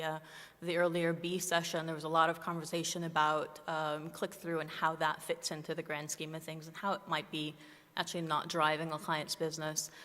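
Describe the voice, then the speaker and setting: A woman who works as a media planner, giving a conference presentation in a halting manner. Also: sits low, fairly bright tone. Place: large indoor conference room, on stage. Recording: echoey hall, long reverb.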